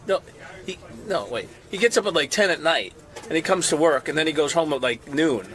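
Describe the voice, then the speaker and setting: A man's voice: a little high, animated, a little fast, fairly bright tone. A man answering interview questions bluntly, cursing freely. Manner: blunt and profane. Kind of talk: casual interview comment.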